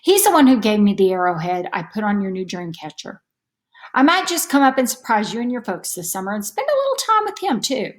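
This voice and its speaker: A woman voicing a kindly, encouraging older male relative in a storybook, gentle and reassuring. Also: medium pitch, big pitch swings, fairly quick, close to an everyday voice. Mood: friendly.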